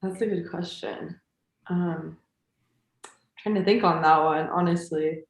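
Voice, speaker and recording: A woman on a panel answering questions about music videos in a relaxed way, thinking out loud. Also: on the low side, slow pace. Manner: relaxed, thinks aloud with fillers. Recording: roomy echo.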